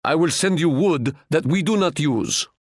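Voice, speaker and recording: A male actor playing a proud, wise Ottoman sultan in a video game, speaking in a stately, formal and measured way. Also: on the high side, animated, no echo, studio quality. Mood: generous, assured. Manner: stately, formal, measured, proverb-laden delivery. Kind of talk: scripted video game character lines.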